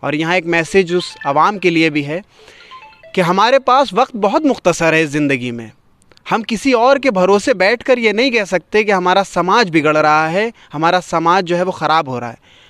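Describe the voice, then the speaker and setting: A man's voice: sits high, animated, moderate pace, a bit bright, smooth, dry recording. A man hosting a poetry gathering, giving literary commentary between recitations.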